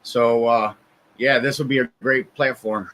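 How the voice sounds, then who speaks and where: A man's voice: medium pitch, steady tone, a little slow, a bit bright. Hesitant man guesting on a podcast. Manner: hesitant, many fillers. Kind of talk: casual chat.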